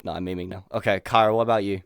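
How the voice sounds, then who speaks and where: A man's voice: fairly low pitch, fairly even intonation, racing, smooth, dry recording, clean studio sound. A man hosting a podcast with informal, joking banter and some swearing. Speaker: podcast host. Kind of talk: casual chat, bantering.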